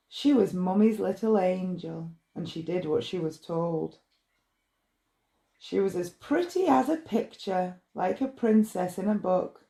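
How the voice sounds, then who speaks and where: A woman reading a rhyming bedtime story in a measured, expressive way. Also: medium pitch, vocal fry. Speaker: storybook reader.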